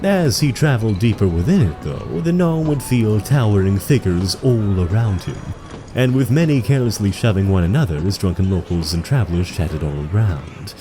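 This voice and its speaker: A man narrating a scripted fantasy audio drama in a measured, dramatic storytelling manner. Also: on the low side, expressive. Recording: background music under the voice. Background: music.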